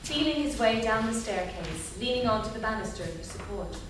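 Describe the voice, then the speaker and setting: A woman's voice: fairly high pitch, animated, brisk. A woman reading scripted stage directions as the narrator of a staged reading. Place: theatre stage before an audience. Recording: echoey theatre, distant, faint noise.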